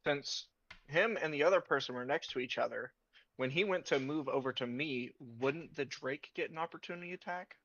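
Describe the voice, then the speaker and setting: A man's voice: fairly high pitch, moderate pace, a bit bright. A man talking casually as a player in a tabletop game, on a dull, lo-fi recording.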